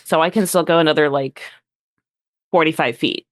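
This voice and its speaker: Relaxed player, counts moves aloud, asks questions, dry close sound. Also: medium pitch, moderate pace, no echo. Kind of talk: casual chat at a game table.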